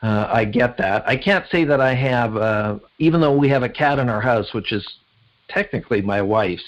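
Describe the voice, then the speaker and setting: A man's voice: mid-range voice, expressive, normal speed, lo-fi sound. A man with a Canadian accent, speaking conversationally as a podcast host and interviewer.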